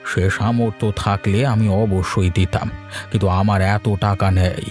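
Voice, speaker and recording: A man's voice: on the low side, flat delivery, normal speed, faint music behind, close to an everyday voice. A man playing a humble, contented older food seller in a moral-tale cartoon, patient and plain-spoken.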